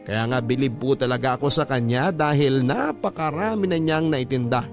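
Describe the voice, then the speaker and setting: A man's voice: medium pitch, moderate pace, lightly acted. A man narrating a scripted first-person letter for a radio drama, politely and earnestly reminiscing. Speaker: storyteller reading a listener's letter. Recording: music bed under the voice. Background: background music.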